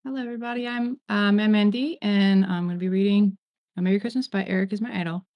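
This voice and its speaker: A woman's voice: medium pitch, fairly even intonation, fast, muffled recording. A woman introducing and reading a story aloud. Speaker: fan fiction reader.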